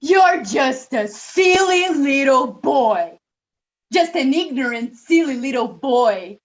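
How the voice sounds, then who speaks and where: A woman's voice: high-pitched, expressive, lo-fi sound. A woman playing a menacing talking pig's head, a beast that mocks and insinuates in a stage monologue. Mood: mocking. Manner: mocking, slow, insinuating.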